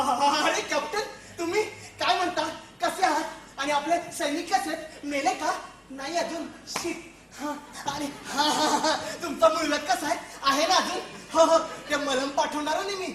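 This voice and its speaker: Woman playing a high-pitched, jittery, lonely soldier. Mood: eager, chatty. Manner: excitable, rambling, stammering and repetitive. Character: jittery, lonely, talkative male soldier at a forgotten post. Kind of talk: comic stage acting. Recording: echoey stage recording with music.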